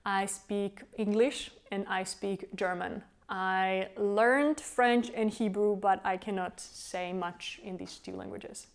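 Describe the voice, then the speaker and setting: A woman's voice: medium pitch, steady tone, slow, hardly any pauses. A woman from the Czech Republic, a native Czech speaker with a Czech accent, earnestly answering interview questions as a tour guide. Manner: earnest, explains at length. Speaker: tour guide and company founder.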